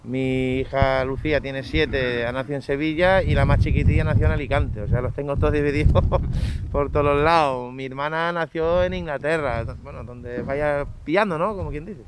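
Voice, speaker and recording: A man's voice: a little high, moderate pace, background noise. A man in his thirties from Portugal, a circus clown, chatting in an interview with some hesitant starts. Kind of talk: casual interview answers.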